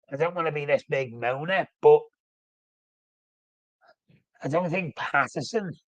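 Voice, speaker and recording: A man's voice: on the high side, dry recording, low-quality recording. Snuffly man chatting on a live stream. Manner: rambling, self-correcting, reads out comments. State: snuffly with a flu. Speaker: fan channel host. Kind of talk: casual live-stream football chat.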